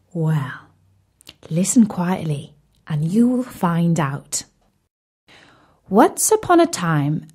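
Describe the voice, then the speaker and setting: A woman's voice: lowish voice, a little mellow, breathy edge. A woman narrating a scripted children's story expressively, addressing young listeners directly.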